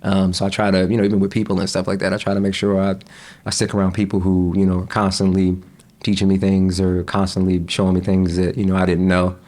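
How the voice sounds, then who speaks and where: A young man chatting casually as a podcast guest, relaxed, with frequent laughs and fillers. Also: low voice, fast talker. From United States.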